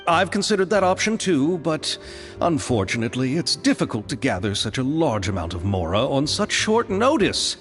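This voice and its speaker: Earnest, very animated film director. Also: male voice, fairly high pitch, moderate pace, in a large hall, soft background music. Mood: worried. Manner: eager, apologetic, hesitant. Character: earnest, polite, easily flustered male film director. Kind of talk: video game voice acting.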